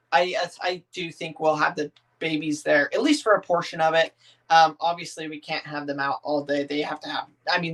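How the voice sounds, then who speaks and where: A man in his thirties with a slightly raised pitch chats loosely with his viewers, reading their comments aloud and answering them one by one. He rambles, restarts sentences and leans on lots of "um"s, keeping everything relaxed and informal. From northern United States. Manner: rambling, easygoing, many "um"s and restarts. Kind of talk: casual livestream chat.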